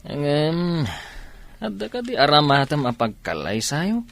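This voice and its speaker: A man's voice: on the high side, sing-song, measured pace, creaky, exaggerated voice. A man with an Ilocano accent playing a teasing, easygoing, nosy buddy in a radio comedy drama, drawling with many hums.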